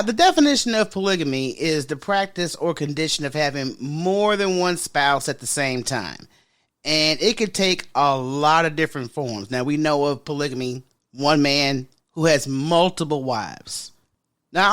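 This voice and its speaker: A woman with a deep voice hosting a podcast in casual solo chat, frank and sassy, talking straight to her listeners. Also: fairly bright tone. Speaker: podcast host.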